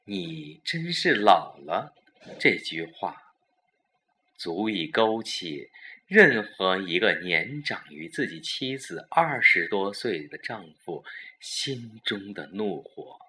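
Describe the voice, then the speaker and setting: A man narrating a scripted audio drama in a measured, suspenseful manner. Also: deep voice, animated, pushed and bright.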